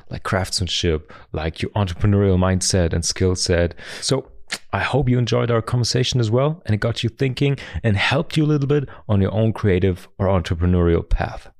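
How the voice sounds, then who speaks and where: A man's voice: fairly low pitch, no echo. Cheery podcast host guy, talks to listeners, dry close mic. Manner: upbeat, warm sign-off, addresses listeners as sweeties. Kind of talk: podcast interview and outro.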